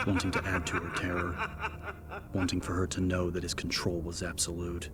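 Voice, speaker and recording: Deep low guy reading a horror story, grave and measured, pretty flat, loud music behind. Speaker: narrator. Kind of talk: dramatic scripted horror fiction narration. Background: prominent background music.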